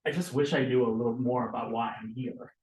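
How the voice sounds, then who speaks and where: A hesitant, agreeable male outsider played by a man with a medium-pitched voice in a script read-through. The recording is echoey, with faint noise. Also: steady tone, a little fast, darkish tone, natural voice. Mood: uneasy, agreeable. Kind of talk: informal script table read. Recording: echoey, noisy room, distant.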